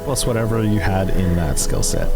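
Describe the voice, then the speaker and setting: A man narrating as game master of a tabletop horror game, measured and deliberate with pauses. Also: low-pitched, expressive, vocal fry. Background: music.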